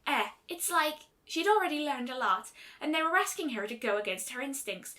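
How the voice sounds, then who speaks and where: A woman's voice: high voice, expressive, moderate pace, sharp tone, voice pushed up. A woman voicing a brash, stubborn young female pegasus pony in a story reading, blunt, emphatic and slangy. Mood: earnest, frustrated.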